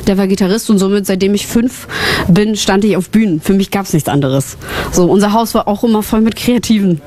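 A woman's voice: medium pitch, fairly quick, mellow. A woman born in Ukraine, a singer and songwriter, talking openly as an interview guest with lots of fillers. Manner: chatty, many fillers, open and personal. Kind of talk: casual personal interview.

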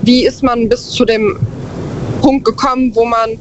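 A woman's voice: on the high side, fairly even intonation, measured pace. A woman calling into a radio show, talking reflectively and hesitantly, often restarting her sentences. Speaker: caller. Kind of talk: phone-in call. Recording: muffled phone line with noise.

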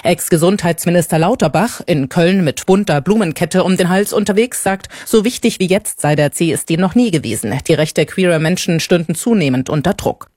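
A woman news reporter delivering a scripted report in a measured, factual way. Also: lowish voice, darkish tone, no room sound, clean studio sound.

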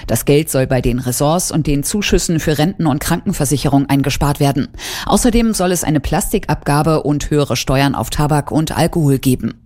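A woman delivering a radio news report in a crisp, informative way. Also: low voice, brisk, darkish tone.

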